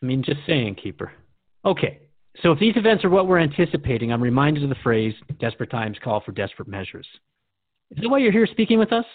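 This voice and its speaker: A man's voice: on the high side, very animated, quick pace, no echo. A male radio host in a dramatized dialogue, earnest and reflective as he questions his partner. His intonation moves up and down a lot. The sound is close and dry, slightly dull and lo-fi.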